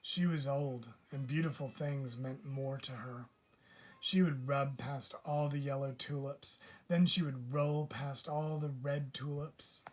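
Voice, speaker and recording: A man reading a children's picture book aloud as a teacher would, with measured, deliberate phrasing. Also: mid-range voice, fairly even intonation, a little mellow. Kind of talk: children's storybook read-aloud.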